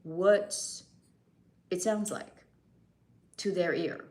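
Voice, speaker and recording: A woman's voice: on the low side, fairly even intonation, extremely slow, a little mellow. Chatty American woman live streamer. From United States. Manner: chatty, answers chat comments, laughs often. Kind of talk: casual live-stream chat.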